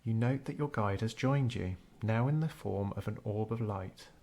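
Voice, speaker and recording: A man narrating a scripted guided meditation in a measured, unhurried storytelling way. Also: on the low side, mellow.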